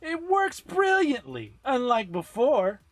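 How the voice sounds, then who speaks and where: A man voicing a brash, hot-tempered young woman fighter from a game story, with shouted, taunting, overdramatic delivery. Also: very high-pitched, huge pitch swings, slow, dry recording, soft background music, voice pushed up, full character voice. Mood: cocky, taunting.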